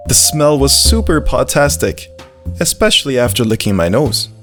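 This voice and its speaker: A performer voicing an earnest, childlike, food-loving male dog who narrates a visual novel in an animated, naive way. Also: medium pitch, normal speed, faint music behind. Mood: playful, innocent. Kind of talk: first-person visual novel narration with character dialogue.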